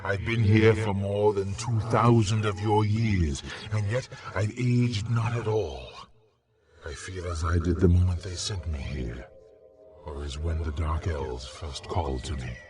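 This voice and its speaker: An actor playing an ancient human necromancer villain in a video game, delivering solemn, theatrical monologues in a grandiose, coldly brooding manner. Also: male voice, sits low, background noise. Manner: solemn, theatrical, deliberate oratory.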